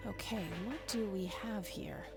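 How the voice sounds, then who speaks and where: A dry, sardonic young woman detective, voiced by an actress for an adventure game, speaking at a middling pitch. Her lines come with a score playing clearly underneath. She sounds blunt and unimpressed. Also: measured pace, close to an everyday voice. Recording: game audio with music behind.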